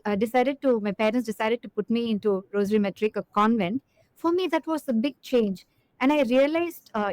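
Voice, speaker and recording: A woman's voice: on the high side, darkish tone, dry recording, poor audio. A middle-aged woman from Chennai, earnestly recalling her childhood as an interview guest, with many fillers. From Chennai, India. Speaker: guest executive. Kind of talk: reflective interview answer.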